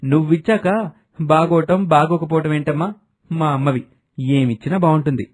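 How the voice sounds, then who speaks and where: A man's voice: fairly high pitch, normal speed, darkish tone, lightly acted. A man voicing a devoted, respectful young adult son, speaking earnestly in a family story. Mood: affectionate.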